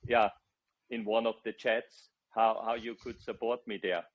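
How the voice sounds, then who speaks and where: A man's voice: fairly low pitch, level tone, a little slow, muffled recording. A middle-aged man, a project founder, explaining earnestly in an online AMA and often searching for words.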